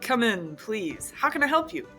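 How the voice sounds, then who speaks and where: A woman's voice: fairly high pitch, sing-song, moderate pace, soft background music. A woman playing a genial, modest male inventor who talks proudly and a little hesitantly in a radio drama. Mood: reflective, genial. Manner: hesitant, thoughtful, quietly proud. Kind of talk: scripted radio drama acting.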